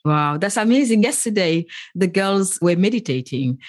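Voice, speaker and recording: A woman's voice: medium pitch, lively intonation, moderate pace. A woman hosting a podcast interview, effusive and enthusiastic as she asks questions. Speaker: podcast host.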